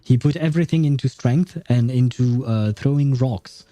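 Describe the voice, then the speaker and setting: A man's voice: mid-range voice, moderate pace, dark tone, no room sound. A man reading a game story aloud and adding casual, sardonic commentary as a streamer. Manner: sardonic asides. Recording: background music behind voice.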